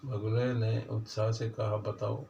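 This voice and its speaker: A man reading a moral story for children in a plain, halting way, with little change for the characters. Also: lowish voice, level tone, gravelly, mellow. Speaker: storyteller, channel host. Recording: distant mic, echoey room, dull, faint noise.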